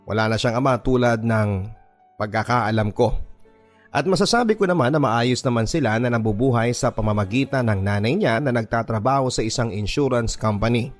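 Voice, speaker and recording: A man's voice: mid-range voice, normal speed. A man with a Filipino accent reading a first-person story as dramatic scripted narration for a radio drama. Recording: music bed under the voice. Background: background music.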